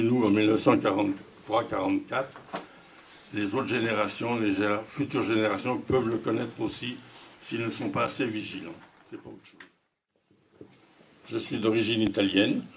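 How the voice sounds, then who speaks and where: A man in his nineties giving spoken testimony to a class of students, telling his story slowly and deliberately with frequent pauses. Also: fairly low pitch, a little hiss or hum. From France. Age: 90s. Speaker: wartime witness speaking to students. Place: school classroom.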